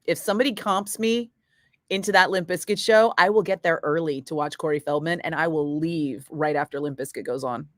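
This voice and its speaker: A middle-aged woman radio DJ chatting casually with viewers between breaks, profane and quick to laugh. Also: deep voice, no room sound, studio quality. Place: radio studio.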